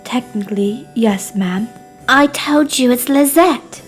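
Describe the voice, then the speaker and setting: A woman voicing a resigned, formal, cryptic servant in amateur period drama, hushed and measured. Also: on the high side, soft background music. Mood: guarded.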